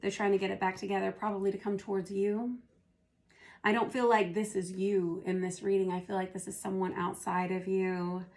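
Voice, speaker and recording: A hoarse woman who is losing her voice, doing a casual tarot reading and thinking aloud as she turns the cards. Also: mid-range voice, flat delivery, moderate pace, a little mellow. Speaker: tarot reader.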